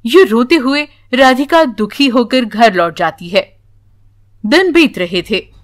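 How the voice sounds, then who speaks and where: Woman reading a kids bedtime story, really high voice, takes it slow. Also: animated. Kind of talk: scripted children's bedtime story narration.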